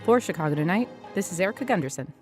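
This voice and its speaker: A woman's voice: fairly low pitch, animated, racing, a little mellow, soft background music. A woman news reporter reading a scripted feature narration.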